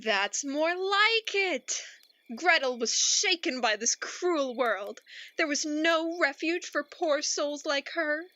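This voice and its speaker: Woman acting a high-voiced, melodramatic storyteller. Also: lively intonation, bright, forceful tone, dry recording, muffled recording, exaggerated voice. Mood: grave, dramatic. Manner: melodramatic, deliberate. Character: earnest, easily exasperated storyteller narrating a gloomy tragedy. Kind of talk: theatrical storybook narration in a radio play.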